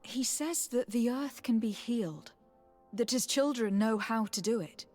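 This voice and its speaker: An actress playing a composed, dutiful young noblewoman diplomat in a video game, speaking in a measured, earnest, formal manner. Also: on the high side, a little mellow, soft background music.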